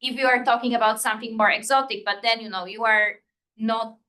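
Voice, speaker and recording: A woman with a Polish accent gives a physics lecture to students, speaking eagerly and often stopping to restart her sentences. Her voice sits a little high and rings very bright. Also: fairly even intonation, a little fast. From Poland. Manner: enthusiastic, many fillers and restarts. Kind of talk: academic lecture. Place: lecture hall.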